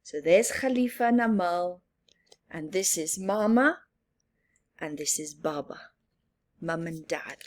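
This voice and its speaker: A woman reading a picture book aloud to children, expressive and sometimes dropping to a whisper. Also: on the low side, slow, pauses often. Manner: expressive, drops into soft whispers. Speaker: children's story reader.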